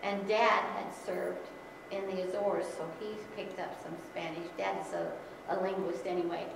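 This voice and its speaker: A woman's voice: on the low side, steady tone, moderate pace, background noise. Chatty middle-aged woman in echoey church. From Philippines. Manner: chatty, laughs often, self-correcting. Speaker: guest speaker. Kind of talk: informal Sunday school talk. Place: large church sanctuary. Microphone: far camera. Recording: echoey church hall from far off.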